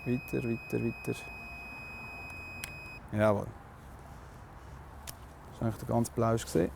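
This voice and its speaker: A man's voice: medium pitch, level tone, slow pace, creaky, noisy background. A man with a Swiss German accent, a police officer casually explaining his work on the job.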